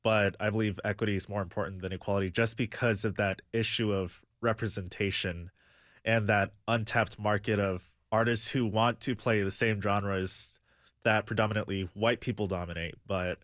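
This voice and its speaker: A man's voice: lowish voice, steady tone, normal speed, fairly bright tone, no echo, studio quality. A young man from the United States, a guest on a radio talk show, answering earnestly and thinking aloud with many fillers. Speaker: guest, music booker.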